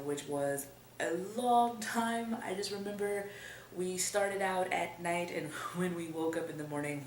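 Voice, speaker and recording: A young woman tells a story in an easy, conversational way, her voice sitting a little low. The recording sounds roomy and echoey, as if the mic were a few steps away from her. There is a faint hum of room noise underneath. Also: distant mic. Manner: animated, laughing often, lively emphasis. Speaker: vlogger telling a story. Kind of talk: casual storytelling.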